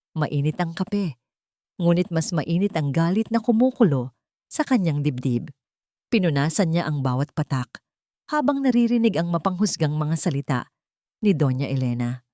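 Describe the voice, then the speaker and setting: A woman storyteller reading a scripted, dramatic narration with measured phrasing. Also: low-pitched, expressive, warm tone, dry recording, clean studio sound.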